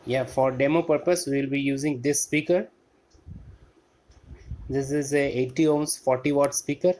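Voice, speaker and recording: A man giving an unscripted technical walkthrough as a hobbyist, explaining step by step in a halting way and repeating phrases. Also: mid-range voice, steady tone, darkish tone. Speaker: electronics hobbyist demonstrating a restored amplifier. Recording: clear background noise with clicks.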